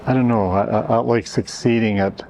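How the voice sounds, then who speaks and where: A middle-aged man from Canada answers interview questions in a lowish voice, hesitating and restarting often. He fills gaps with "you know" and "uh". A soft hum of ambience sits under the voice. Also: steady tone, a little fast, creaky. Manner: hesitant, many restarts and fillers. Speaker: interviewee, event organizer.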